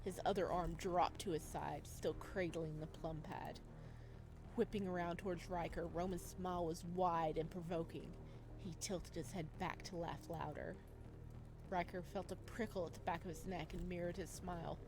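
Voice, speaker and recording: A woman's voice: fairly low pitch, fairly even intonation, moderate pace, music in the background, rough recording. A woman reading a visual novel aloud as dramatic narration for a let's play.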